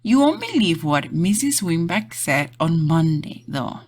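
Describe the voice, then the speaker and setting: A woman host reading a storybook aloud for kids in an animated, deliberate, emphatic way. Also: on the low side, breathy edge. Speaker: children's read-aloud channel host and teacher.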